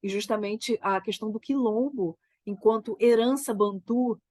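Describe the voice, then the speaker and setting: A woman with a Brazilian Portuguese accent, a theater creator getting over a cold, talking about her work in a reflective, halting way and often tagging her sentences with "né?". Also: a little high, mellow, no room sound. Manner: thoughtful, halting, frequent "né?" tags. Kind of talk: reflective conversation.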